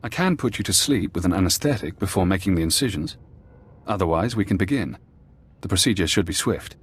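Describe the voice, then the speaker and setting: An actor voicing a calm, clinical imprisoned ex-operative in a video game, speaking in a measured, precise and dryly matter-of-fact way. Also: male voice, low-pitched, husky.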